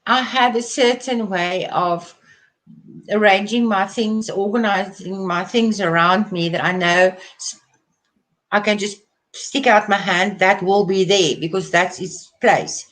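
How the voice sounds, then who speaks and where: A woman's voice: medium pitch, steady tone, unhurried, a bit bright, low-quality recording. A woman whose first language is Afrikaans, speaking English with an Afrikaans accent as a crafter in a casual live interview, chatty and laughing often.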